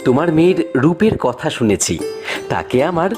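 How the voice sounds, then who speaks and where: A man's voice: on the high side, big pitch swings, moderate pace, over music. An actor voicing a boastful, commanding king from a fairy tale in a cartoon, grand and declarative. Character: boastful, commanding suitor king of a mining land.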